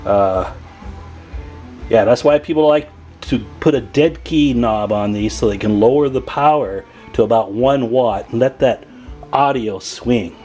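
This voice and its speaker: A man's voice: mid-range voice, measured pace, a little mellow. An American man with a mid-range voice talks through a hands-on repair demo. He is informal and folksy, speaking straight to his viewers as he works. Faint music or tones sit behind his voice. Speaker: radio repair hobbyist and video host. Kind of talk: casual hands-on demonstration vlog.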